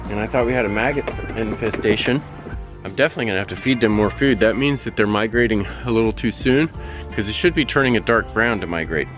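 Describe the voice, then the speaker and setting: A man's voice: fairly low pitch, fast, music in the background. A man in his forties chatting casually to camera as a homestead vlogger, recorded outdoors. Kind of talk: casual vlog chat to camera. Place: outdoors, yard and busy farm meet. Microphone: handheld or nearby camera.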